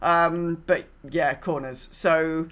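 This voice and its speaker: A woman's voice: deep voice, fairly even intonation, takes it slowly, fairly bright tone. A woman co-hosting a casual gaming podcast, speaking in a wry, understated and somewhat hesitant way. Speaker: podcast co-host.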